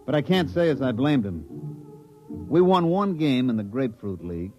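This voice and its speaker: A wry, folksy baseball coach, played by a man in a 1950s radio drama. His male voice is mid-pitched and his talk dry and colloquial, with music behind. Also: big pitch swings, normal speed, mellow, muffled recording, close to an everyday voice.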